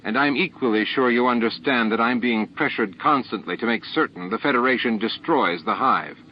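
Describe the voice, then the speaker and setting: A man voicing a weary, diplomatic first minister in an audiobook, polite and measured, on a dull lo-fi recording. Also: mid-range voice, a little hiss or hum, natural voice. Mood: weary, pressured. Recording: dull, lo-fi cassette-like sound.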